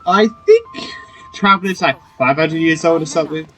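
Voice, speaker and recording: A man's voice: on the high side, moderate pace, a bit bright, soft background music. A man giving casual, joking let's-play commentary, reacting aloud to a game.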